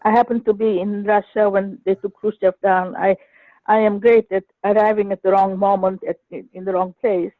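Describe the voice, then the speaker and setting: A woman's voice: mid-range voice, level tone, normal speed, no room sound. An older woman from Egypt calling in to a talk show, telling her story in an earnest, hesitant way with many restarts. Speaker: caller. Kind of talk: phone-in sharing a personal story. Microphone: telephone. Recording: dry tinny phone line.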